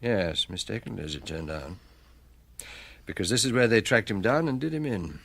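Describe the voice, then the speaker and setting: An actor playing a shrewd, unflappable police chief inspector, wry and thoughtful, in a radio drama. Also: male voice, fairly low pitch, lively intonation, normal speed, gravelly, a bit bright, exaggerated voice. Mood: musing, wry.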